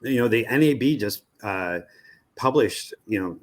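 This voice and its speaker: Interview guest, American man, lowish pitch, industry analyst explaining step by step. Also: expressive, a little slow. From United States. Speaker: media research executive, interview guest.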